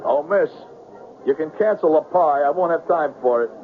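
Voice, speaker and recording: An actor playing a smooth-talking, anxious con man on the run in a 1970s radio drama, with florid, theatrical diction. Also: a little high, animated, normal speed, phone-quality sound, lightly acted. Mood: affable. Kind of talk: 1970s radio drama acting, first-person narration. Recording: noisy, phone-thin old radio broadcast.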